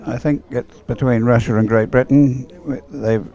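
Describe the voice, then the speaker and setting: A man's voice: medium pitch, fairly even intonation, moderate pace, warm tone. A man giving live, unscripted sports commentary on a race in an informal, hesitant way, as if thinking aloud.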